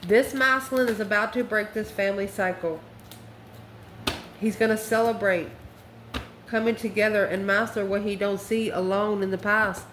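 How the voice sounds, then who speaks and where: A woman with a mid-pitched voice hosts an informal tarot reading. She speaks in a folksy, emphatic manner, calling listeners by endearments. The recording has a roomy echo and a faint layer of background noise. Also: fairly even intonation, normal speed, a bit bright. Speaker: tarot card reader and channel host.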